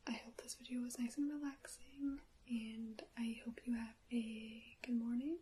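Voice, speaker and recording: Female ASMR storyteller, hushed breathy whisper, mellow tone, soothing careful delivery over audible hiss. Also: on the high side, level tone, measured pace. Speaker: ASMR creator reading a short story. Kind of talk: ASMR bedtime story reading.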